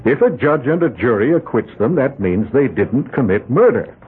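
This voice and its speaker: Calm polite lawyer in an old radio play, very husky breathy voice, sounds tinny like a phone. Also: male voice, mid-range voice. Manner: measured, polite, reasoning. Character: calm, principled middle-aged defense lawyer. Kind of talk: 1970s radio drama acting.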